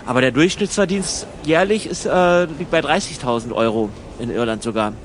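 A man's voice: on the high side, moderate pace, slight background noise, lightly acted. A man playing a matter-of-fact traveller who explains things eagerly in a conversational radio play. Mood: eagerly explaining.

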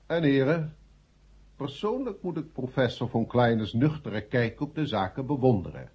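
An actor playing a stern, formal male chairman with a pompous, measured manner in a radio drama. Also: medium pitch, very animated, husky. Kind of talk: 1970 Dutch radio drama acting. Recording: muffled old 1970 radio recording.

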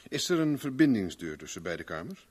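An actor playing a smooth, affable private investigator with a casual, politely probing manner in a 1970s Dutch radio play. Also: male voice, on the low side, sing-song, a little fast, darkish tone.

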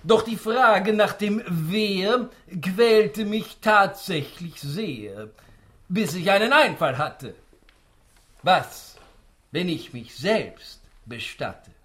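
An actor playing a smug, spry old tycoon patriarch in a rhymed radio play, theatrical and savouring each line. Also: male voice, a little high, a bit bright. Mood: grandiose, musing. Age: older.

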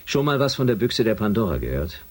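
Low, husky, expressive spaceship commander. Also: male voice, quick pace. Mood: wary, serious. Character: male spaceship commander, educated, easily irritated. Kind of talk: science fiction radio drama acting.

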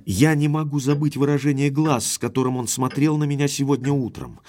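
An actor playing a hot-headed, lovestruck young baronet who speaks bluntly and emphatically in an audio drama. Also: male voice, mid-range voice, fairly even intonation, fairly quick, a little mellow, close to an everyday voice. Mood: earnest.

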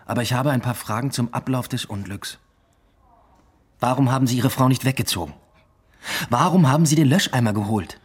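Actor as a husky, blunt police detective. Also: male voice, mid-range voice, brisk, long pauses, lightly acted. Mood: probing, cool. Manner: direct, matter-of-fact questioning. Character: probing, blunt, stubborn male police detective. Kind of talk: radio play dialogue.

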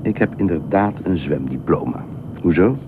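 A man's voice: deep voice, lively intonation, normal speed, phone-quality sound. An actor in a Dutch radio play voicing a reluctant, dryly patient stranger, terse and matter-of-fact in his answers. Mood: politely reluctant. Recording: noisy, thin band-limited old radio recording.

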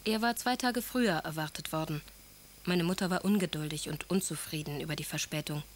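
An actress playing an earnest, ardent young aristocratic bride-to-be, speaking letters solemnly and formally in a German radio play. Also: female voice, sits low, a little fast, a little hiss or hum, close to an everyday voice. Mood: composed, expectant.

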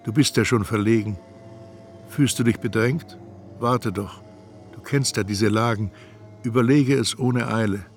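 An actor playing an anxious, brooding storyteller who talks to himself in a literary radio drama. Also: male voice, medium pitch, a little slow, pauses often, lightly acted. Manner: introspective, talking to himself. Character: anxious, brooding first-person male storyteller. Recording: music behind the voice.

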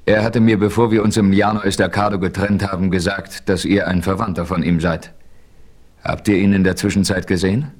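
A man playing a steady, heroic frontiersman who also narrates, in a measured, composed radio-play delivery. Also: deep voice. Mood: calm, resolute. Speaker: first-person narrator and hero. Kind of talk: 1970s German radio play acting.

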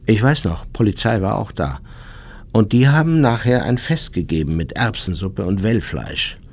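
An actor playing an ageing, unrepentant former SS officer in a radio documentary, husky, blunt and self-justifying as he recalls the war. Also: fairly low pitch, animated, moderate pace, darkish tone, slight background noise. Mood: blunt, boastful. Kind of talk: dramatised memoir monologue in a radio documentary.

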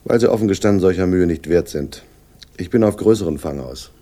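An actor in a radio crime play playing a shrewd, sardonic police inspector, speaking with dry, deliberate condescension and a husky voice. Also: male voice, low-pitched, warm tone. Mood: coolly threatening. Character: sardonic, shrewd male police inspector, world-weary but superior. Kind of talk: 1960s German radio play acting, dialogue.

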